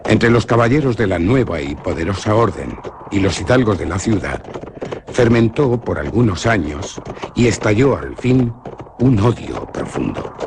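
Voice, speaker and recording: A man's voice: fairly low pitch, very animated, noisy background. Grave young nobleman Alonso. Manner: grave, measured storytelling. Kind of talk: radio drama acting.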